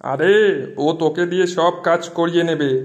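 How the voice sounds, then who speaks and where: Man acting a scheming village acquaintance, high-pitched, scornful, pushy, persuasive, moral cartoon dub. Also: steady tone, a little fast, dull audio, close to an everyday voice. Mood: sly persuasion. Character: scheming older village acquaintance sowing distrust between friends. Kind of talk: Bengali moral-story cartoon dubbing.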